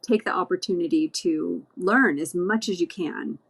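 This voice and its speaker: A woman in a casual group podcast chat, warmly encouraging and speaking with many fillers. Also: fairly low pitch, moderate pace, breathy edge. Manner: encouraging, lots of affirming murmurs and fillers. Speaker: podcast guest.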